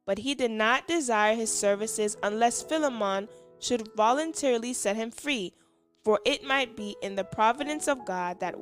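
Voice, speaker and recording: A woman reading scripture and a scripted religious devotional aloud. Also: fairly high pitch. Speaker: devotional reader.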